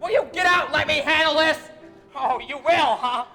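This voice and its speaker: A man's voice: very high-pitched, moderate pace, pushed and bright, big-room sound, soft background music, exaggerated voice. A young man acting a small-town father at breaking point in a live radio play, stammering and repeating words. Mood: angry. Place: theater stage with audience. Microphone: vintage stand microphone.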